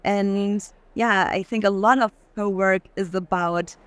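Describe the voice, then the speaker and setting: A woman in casual, reflective conversation about art, speaking haltingly with plenty of filler "like". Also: medium pitch, steady tone. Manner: halting, frequent use of "like". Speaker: curator in conversation. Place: art fair. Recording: noisy fair hall ambience.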